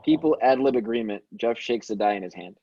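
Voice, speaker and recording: A man narrating stage directions at a table read, in dull low-fidelity sound. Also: animated, brisk. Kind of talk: reading screenplay directions aloud.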